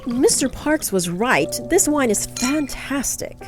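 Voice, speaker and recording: An actress playing a brash, wine-loving guest in a horror audio drama, blunt and sarcastic in manner. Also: female voice, high voice, animated, slow pace, over music. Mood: tipsy, dismissive.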